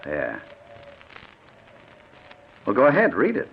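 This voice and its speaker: A man's voice: on the low side, wildly swooping pitch, takes it slowly, gravelly, full character voice. An actor playing a menacing, vengeful gunman in 1940s radio drama, coldly taunting with sinister, mocking politeness. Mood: menacing, gloating. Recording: muffled, noisy 1940s radio recording.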